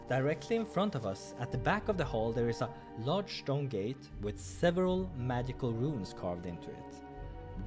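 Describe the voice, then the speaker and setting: A man reading a visual novel's story aloud as narrator, with offhand asides of his own. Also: mid-range voice. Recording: music behind the voice.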